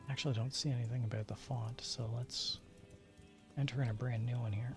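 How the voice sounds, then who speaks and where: A man with a creaky, gravelly edge talks casually on a livestream, muttering to himself and often slipping into a near whisper. Music plays clearly behind his voice. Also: mid-range voice, steady tone, a little fast. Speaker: streamer. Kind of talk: casual livestream chat while troubleshooting settings.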